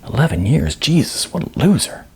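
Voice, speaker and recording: A man's voice: a little high, very animated, moderate pace, husky, pitched above the performer's own voice, heavily acted. A man voicing a naive, puzzled young aspiring actor in an audiobook, hesitant and questioning.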